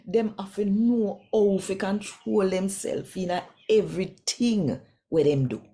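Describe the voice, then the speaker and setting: A woman's voice: mid-range voice, a little slow, warm tone. A woman from Jamaica with a Jamaican accent giving a devotional scripture reading in Patois, speaking directly and conversationally to listeners. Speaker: Bible reader and host.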